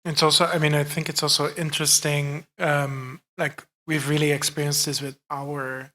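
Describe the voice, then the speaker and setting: A man's voice: on the high side, little pitch movement, normal speed, pushed and bright. A man on a conference panel, speaking thoughtfully and building up his points as he goes. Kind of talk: panel discussion.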